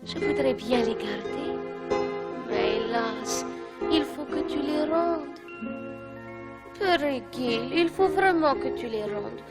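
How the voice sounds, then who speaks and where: Worried naggy pious wife, high voice, unhurried, cartoon dub w loud music. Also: female voice, animated, heavily acted. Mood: wistful, worried. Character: water carrier's scolding, anxious, pious wife.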